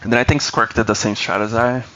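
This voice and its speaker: A man's voice: mid-range voice, little pitch movement, fast, a bit bright, slight background noise. A man from Brazil whose first language is Portuguese, chatting casually on a live stream, explaining strategy and answering chat.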